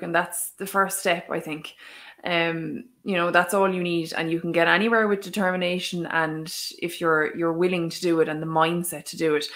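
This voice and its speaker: A woman with an Irish accent interviewing a guest in a casual chat, encouraging and full of praise. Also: on the low side. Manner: encouraging, warm praise, frequent "mm-hmm" backchannel. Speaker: interviewer, Irish language tutor.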